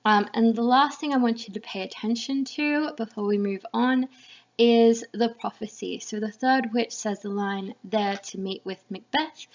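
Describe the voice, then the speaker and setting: A woman giving an explanatory lesson voice-over to students as a literature teacher. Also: on the high side. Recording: slightly dull, lo-fi recording.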